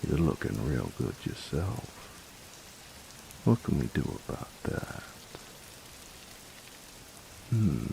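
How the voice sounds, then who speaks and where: Man acting a very deep-voiced, teasing bully boyfriend. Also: vocal fry, a little mellow, slight background noise, heavily acted, low-quality recording. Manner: slangy, teasing, halting when sincere. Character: gruff, teasing young bully turned affectionate boyfriend. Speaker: voice actor. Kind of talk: intimate boyfriend roleplay audio drama.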